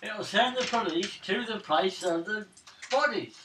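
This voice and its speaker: A man's voice: high-pitched, measured pace. A man talking bluntly and casually as a player in a tabletop game. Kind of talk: casual in-character game talk. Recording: roomy, distant mic, faint table noise.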